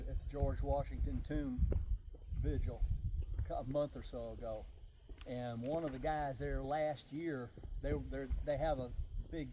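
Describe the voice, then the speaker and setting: A man in a training group telling a cautionary story in an unhurried, conversational way. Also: mid-range voice, background noise. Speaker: chapter member. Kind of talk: casual storytelling.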